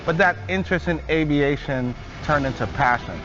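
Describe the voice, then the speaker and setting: A man's voice: a little high, moderate pace. A man gives an earnest, inspirational account of his mission in a promotional video voice-over. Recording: dull audio with music under it.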